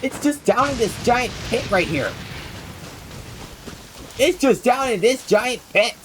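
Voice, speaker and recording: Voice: mid-range voice, lively intonation, slow, slight background noise. A game streamer giving casual, rambling commentary while playing, often exclaiming in mild bewilderment. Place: indoors, live stream with game music behind the voice.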